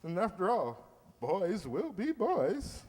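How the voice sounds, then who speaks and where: A man's voice: high-pitched, wildly swooping pitch, slow, a little mellow, exaggerated voice. An actor playing a pompous, flustered middle-aged water commissioner in an old-time radio comedy, blustering and hemming as he talks. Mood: placating bluster. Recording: reverberant hall, stage re-enactment.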